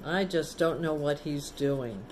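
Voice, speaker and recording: A woman teacher reading a picture book to children, pausing to explain things simply and ask the young listeners questions. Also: low-pitched, a little mellow, a little hiss or hum, poor audio. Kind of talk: storybook read-aloud with chatty asides to children.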